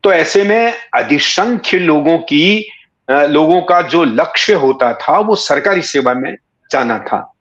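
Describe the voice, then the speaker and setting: A man, recalling his life in a measured, courteous, conversational way, often pausing mid-sentence. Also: a little high, animated, a bit bright. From Rajasthan, India. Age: 60s. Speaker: interview guest, writer and former cricket commentator. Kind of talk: reflective conversational storytelling. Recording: echoey room, slightly dull sound.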